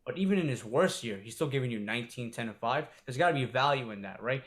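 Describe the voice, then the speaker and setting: A man's voice: mid-range voice, expressive, fast talker, a bit bright. A man co-hosting a sports podcast, talking loosely with lots of filler and swearing. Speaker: podcast co-host. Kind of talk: casual sports chat.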